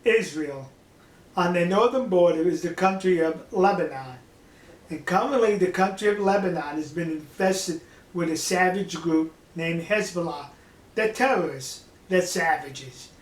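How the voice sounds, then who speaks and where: A man's voice: high-pitched, unhurried, roomy sound, low-quality recording. An older man giving casual monologue commentary to viewers in a folksy, emphatic manner with rhetorical questions. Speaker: online political commentator.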